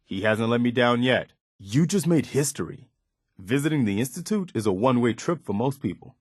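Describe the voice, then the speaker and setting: A man's voice: medium pitch, lively intonation, moderate pace, husky, no echo, clean studio sound. A man playing an earnest, idealistic and loyal militia soldier in a video game, speaking plainly and conversationally. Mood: rousing, determined. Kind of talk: video game companion dialogue, naturalistic acting.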